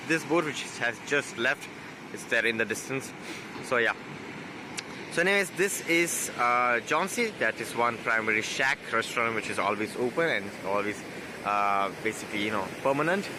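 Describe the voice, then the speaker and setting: A hoarse man with a raspy voice, chatting casually as a travel vlogger while driving and walking on a beach, rambling with fillers and correcting himself. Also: medium pitch, background noise. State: hoarse after a night out. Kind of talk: casual vlog tour narration. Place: driving a car, then outdoors on a beach.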